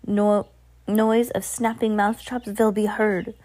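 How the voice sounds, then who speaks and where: A woman's voice: mid-range voice, level tone, slow pace, mellow, pitched above the performer's own voice, natural voice. A woman voicing a gloating, commanding evil grand witch in broken English for a storybook reading. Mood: triumphant. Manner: broken grammar, boastful, commanding.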